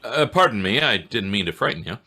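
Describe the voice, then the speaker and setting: An actor playing a dry, teasing police inspector in a 1940s radio drama, hesitant and coaxing in delivery. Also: male voice, on the low side, animated, fairly quick, bright, forceful tone, full character voice. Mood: polite, coaxing. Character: laconic, teasing male police inspector, sweet on the detective. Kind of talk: 1940s radio detective acting.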